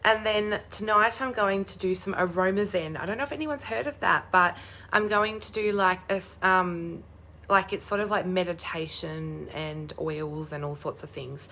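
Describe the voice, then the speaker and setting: A young woman with a hoarse, croaky voice chatting bubbly on a live stream, greeting viewers by name. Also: on the low side, moderate pace, bright, forceful tone. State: hoarse, losing her voice. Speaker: crafting demonstrator hosting a live stream.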